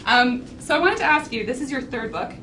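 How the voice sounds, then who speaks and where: A woman's voice: sits high, expressive, fairly quick, recorded from a distance. Woman interviewer, friendly and giggly, off-mic, noisy room. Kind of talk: casual interview.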